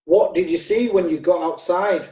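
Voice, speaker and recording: An actor playing a brisk prosecutor who questions witnesses formally. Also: male voice, sits high, dark tone, natural voice. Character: businesslike male prosecutor. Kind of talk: amateur radio drama. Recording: echoey room, distant from the mic.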